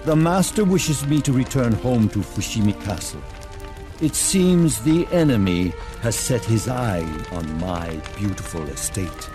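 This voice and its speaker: Game role: seasoned, devoted older samurai lord. Courtly, measured, theatrical, with very animated intonation over scoring. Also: male voice, mid-range voice, over music. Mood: stoic. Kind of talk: dramatic game cutscene acting.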